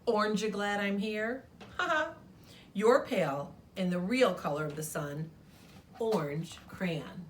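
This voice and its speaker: A woman's voice: medium pitch, unhurried, slight background noise, close to an everyday voice. A woman voicing a smug orange crayon from a storybook, emphatic and scornful of its rival.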